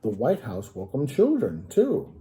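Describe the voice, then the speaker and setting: An American man reading a history story aloud to children, pausing to add simple informal explanations. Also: medium pitch, wildly swooping pitch, warm tone. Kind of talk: read-aloud storytelling with informal asides to young listeners.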